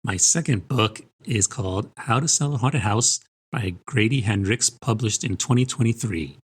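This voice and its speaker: A man's voice: fairly low pitch, normal speed, breathy edge. A man co-hosting a book podcast, giving a conversational, good-humoured review.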